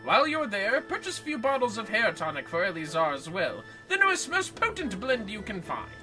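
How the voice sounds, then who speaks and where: Hammy male video game inspector, high swooping pitch, grandiose declaiming, music behind. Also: very animated, brisk. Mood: eager, generous. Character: pompous, exuberant gentleman inspector, hammy and grandiose.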